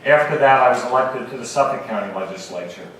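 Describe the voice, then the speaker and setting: A middle-aged man running for state senate gives a campaign speech at a forum. His voice is raspy and hoarse, and he speaks in measured phrases with frequent pauses. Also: medium pitch, animated, a bit bright, a little hiss or hum. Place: campus event hall. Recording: very echoey hall from far off.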